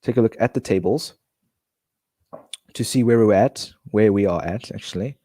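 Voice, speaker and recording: A man's voice: fairly low pitch, moderate pace, mellow, breathy edge, no echo, studio quality. A man streaming a football manager game, talking casually and rambling with restarts, his nose blocked. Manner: chatty, rambling, many restarts and fillers. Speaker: gaming streamer.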